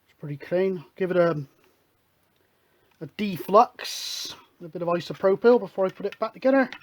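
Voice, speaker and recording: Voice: medium pitch, lively intonation, moderate pace. Chatty hobbyist thinking aloud. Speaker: hobbyist radio show host. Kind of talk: informal hands-on technical demonstration.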